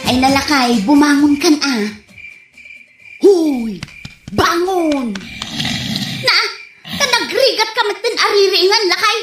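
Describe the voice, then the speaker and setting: Woman acting a high-voiced busy village wife. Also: lively intonation, slow, long pauses, music in the background, heavily acted. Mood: nagging. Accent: Ilocano. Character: busy, nagging married village neighbour woman. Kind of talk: Ilocano radio comedy drama acting. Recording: roomy, noisy sound.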